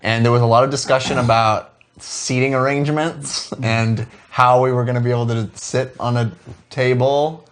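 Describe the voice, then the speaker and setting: A man's voice: medium pitch, steady tone, moderate pace. A man co-hosting a casual commentary, making dry, nitpicking remarks in a conversational way. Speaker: show co-host.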